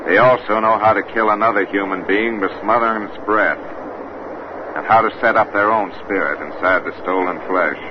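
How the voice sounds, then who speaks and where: Man playing a deep, folksy radio-drama investigator. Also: fairly even intonation, moderate pace, lightly acted. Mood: grave, steady. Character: steady, laconic investigator and champion pistol shot, awkwardly lovestruck. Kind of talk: 1930s radio horror acting. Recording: noisy, muffled old radio recording.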